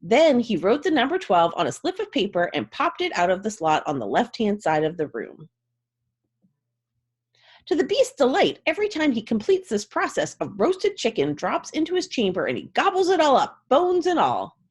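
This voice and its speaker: A woman's voice: on the high side, normal speed, husky. A woman giving a dramatic, theatrical storybook reading as narrator. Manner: theatrical, expressive.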